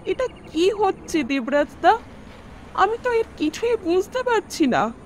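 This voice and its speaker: Actress as worried mother, high voice, earnest dramatic telling, audio story, faint noise behind. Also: very animated, full character voice. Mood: anxious, disturbed. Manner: earnest, slow, dramatic recounting. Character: worried mother recounting eerie deaths around her son. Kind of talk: Bengali audio story acting.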